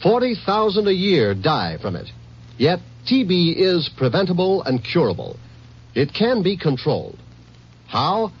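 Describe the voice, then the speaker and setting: Husky, emphatic male radio announcer. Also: high-pitched, wildly swooping pitch, a little slow. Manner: rhetorical, emphatic, formal. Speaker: announcer of a charity appeal. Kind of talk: earnest scripted 1950s radio announcement. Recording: muffled vintage radio broadcast.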